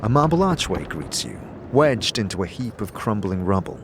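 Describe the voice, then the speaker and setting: A man narrating a fantasy audio drama in the second person, with deliberate, theatrical storytelling. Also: on the low side, very animated, noisy background. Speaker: narrator and game master. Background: music bed.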